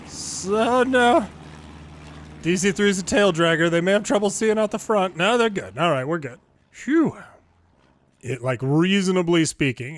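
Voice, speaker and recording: A man's voice: a little high, no echo. An American man giving casual, folksy gameplay commentary and explanations as a former controller hosting a gaming video.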